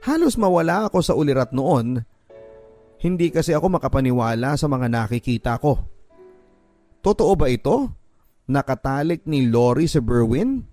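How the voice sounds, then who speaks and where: A man's voice: medium pitch, a little mellow, dry recording, natural voice. Man voicing a betrayed young mother, music behind. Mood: shocked, hurt. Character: betrayed young mother, wronged and bitter, telling her story. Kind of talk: Tagalog radio drama letter narration. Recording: music under the voice.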